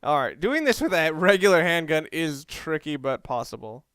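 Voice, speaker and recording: A man giving casual video game commentary in a halting, rambling way, often rephrasing himself as he goes. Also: fairly high pitch, big pitch swings, fairly bright tone, clean tone, no room sound. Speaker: video game let's player.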